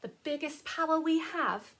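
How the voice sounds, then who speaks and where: A woman teacher reading a children's story aloud with chatty asides, in a gentle, encouraging, sing-song manner. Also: high-pitched, normal speed.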